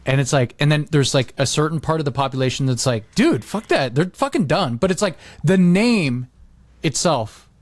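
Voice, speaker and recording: A man's voice: a little high, lively intonation, normal speed, no room sound. A middle-aged American man chatting casually as a podcast host, rambling and leaning on "like" as he acts out little quotes. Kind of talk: casual chat.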